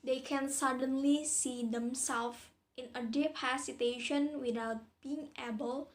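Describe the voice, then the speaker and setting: A young woman with an Indonesian accent, reading a class presentation slowly and deliberately. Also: sits high, steady tone. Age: young adult. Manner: slow, deliberate reading with pauses. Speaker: student presenter. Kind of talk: read-aloud academic presentation.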